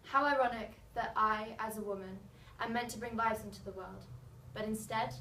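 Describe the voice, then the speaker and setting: A woman's voice: on the high side, fairly even intonation, moderate pace, pushed and bright. A woman performing a soldier's wife and munitions worker in a pointed, declamatory slam poem. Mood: bitter, angry. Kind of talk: dramatic slam poetry recital. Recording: noisy, echoey room, mic far off.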